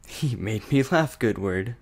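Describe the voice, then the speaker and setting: A man voicing a gentle, amused pony princess from a fantasy story, speaking politely and regally. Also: on the low side, animated, unhurried, gravelly, breathy edge, heavily acted. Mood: cheerful.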